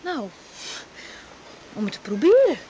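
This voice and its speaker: An earnest young woman doctor in a 1980s radio drama. Her voice sits a little high and she talks haltingly, with a caring manner. There is faint noise on the recording. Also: huge pitch swings, warm tone, heavily acted, low-quality recording. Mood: hesitant, earnest. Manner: hesitant, halting.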